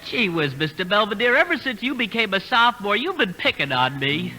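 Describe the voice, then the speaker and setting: Casting: excitable young college guy, high piercing voice, whiny and flustered, vintage radio comedy. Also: a little fast, soft background music, exaggerated voice, low-quality recording. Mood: aggrieved. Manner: flustered, sniffling, folksy exclamations. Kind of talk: 1950s radio comedy-drama acting.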